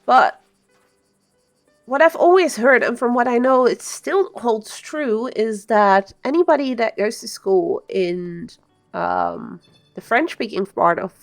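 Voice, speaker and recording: Woman, game streamer, casual rambling chat, slightly high voice. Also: animated, slow pace, faint music behind. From Belgium, Flemish-speaking part. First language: Dutch (Flemish). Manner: chatty, rambling explanations with fillers. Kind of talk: casual live-stream commentary.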